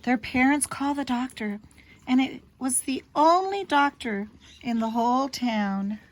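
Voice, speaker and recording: Woman, slightly high voice, expressive picture-book read-aloud, teacherly, simple asides for kids. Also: a little slow. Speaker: storyteller reading aloud to children.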